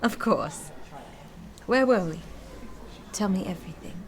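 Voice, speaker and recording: Woman acting as young sweetheart, radio play, medium pitch, poised, tender, gently teasing. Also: animated, measured pace, a little hiss or hum.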